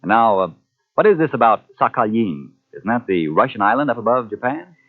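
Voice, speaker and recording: An American man announces in a vintage 1940s radio manner. His mid-range voice is animated, moving up and down as he pitches and banters. The recording is thin and phone-like. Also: fairly quick.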